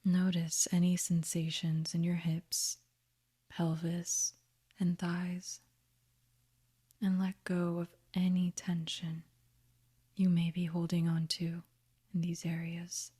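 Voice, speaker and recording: A woman guiding a meditation in a soft, breathy, half-whispered voice, with a gentle, soothing manner. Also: fairly low pitch, completely flat delivery. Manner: gentle, soothing, unhurried instruction. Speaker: meditation guide. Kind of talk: guided meditation narration.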